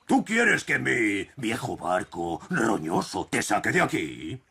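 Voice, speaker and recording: An actor with a Spanish accent from Spain playing a hearty, teasing old grandpa dog sailor in a children's cartoon. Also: male voice, lowish voice, huge pitch swings, slow, creaky, sharp tone, a little hiss or hum, heavily acted. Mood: gallant, teasing.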